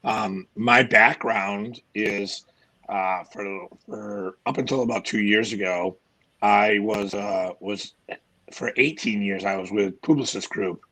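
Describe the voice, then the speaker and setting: A middle-aged man with a hoarse, raspy voice, chatting as a guest over a video call from home, friendly and halting with many uhs. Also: on the low side, pushed and bright, low-quality recording. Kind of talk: casual interview chat. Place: home room. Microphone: video call webcam.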